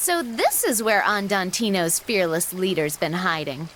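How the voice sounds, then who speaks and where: Frank young woman game heroine, mid-pitched acted by a woman. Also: expressive, moderate pace, a bit bright, slight background noise, natural voice. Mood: friendly, candid. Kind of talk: video game cutscene acting.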